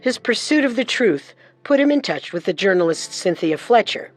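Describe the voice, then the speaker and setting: A woman's voice: mid-range voice, big pitch swings, moderate pace. A woman reading scripted true-crime narration. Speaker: narrator, co-host. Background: music.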